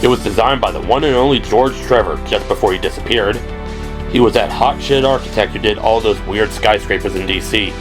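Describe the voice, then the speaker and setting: A man voicing a blunt, knowing middle-aged police teammate in an audio drama, with gruff, slangy, unhurried delivery over music. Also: fairly low pitch, lightly acted. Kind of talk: audio drama character acting.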